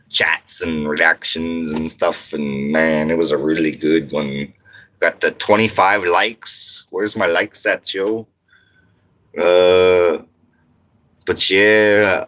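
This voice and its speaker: Deep slow guy from montana doing a chill livestream in his rv, slangy and rambling. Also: a bit bright. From Montana, United States. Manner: laid-back slangy talk, drawn-out and rambling. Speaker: livestream host. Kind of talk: casual livestream chat reading comments.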